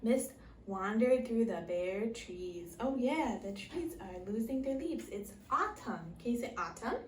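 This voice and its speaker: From a distance in an echoey room, a woman reads to preschoolers as their teacher. Her voice is very animated and unhurried, and she uses simple phrases with questions for the children. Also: a little high. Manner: simple short phrases with repeated prompts and questions. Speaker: English teacher of young children. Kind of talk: interactive preschool read-aloud lesson. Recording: echoey room, distant mic, faint noise.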